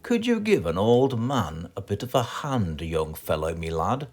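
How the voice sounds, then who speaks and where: A man puts on a genial old British countryman from a children's story. He is hesitant and folksy, with a husky, slow voice. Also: on the low side, sing-song, soft background music. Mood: asking. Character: genial old man, a woodchopper asking help.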